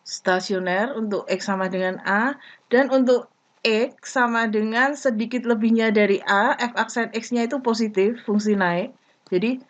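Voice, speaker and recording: A woman giving a math lesson, explaining step by step in short, deliberate phrases. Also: fairly high pitch. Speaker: math teacher. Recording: dull lo-fi recording.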